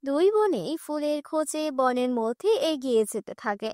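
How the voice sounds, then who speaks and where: A woman's voice: sits high, lively intonation, moderate pace, a little mellow, dry recording, clean studio sound. A woman storyteller giving scripted fairy-tale narration for a children's cartoon.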